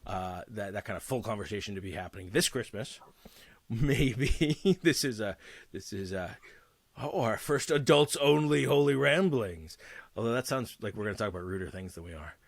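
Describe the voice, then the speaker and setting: A man's voice: fairly low pitch, lively intonation, normal speed, gravelly, no echo. A man co-hosting a casual podcast, rambling and joking, often laughing between his thoughts. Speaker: podcast co-host.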